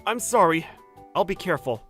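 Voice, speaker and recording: Casting: ordinary male office worker, twenties, played by a man, narrating a manga dub, polite and deferential, lively intonation over soft music. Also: sits high, brisk. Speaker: voice actor, lead and narrator.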